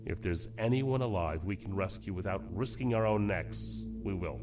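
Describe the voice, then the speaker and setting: An actor playing a gruff, terse spaceship captain who gives firm orders under pressure in a science fiction audio drama. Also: male voice, low voice, moderate pace, music in the background, natural voice. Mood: stern, urgent. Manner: terse, authoritative.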